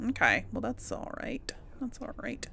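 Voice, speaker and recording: A woman's voice: fairly high pitch, sing-song, moderate pace, vocal fry, bright, forceful tone, breathy edge, in a whisper. A woman hosting a game playthrough, chatting casually to viewers and musing aloud to herself. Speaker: host of a gaming playthrough series. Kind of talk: casual let's play commentary, chatting to viewers.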